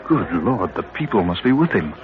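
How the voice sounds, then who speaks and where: A man's voice: low-pitched, big pitch swings, moderate pace. A man acting a loyal, protective young adventurer in a 1930s radio serial, earnest and respectful. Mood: tense, earnest. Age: young adult. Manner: earnest, deferential, reassuring. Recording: muffled, hissy 1930s radio recording.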